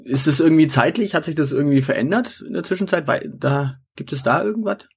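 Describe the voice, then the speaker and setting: A man's voice: fairly high pitch, fast talker, vocal fry, breathy edge. A man hosting an informal interview show, asking questions casually and often stumbling over and repeating his words. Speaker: podcast host and interviewer.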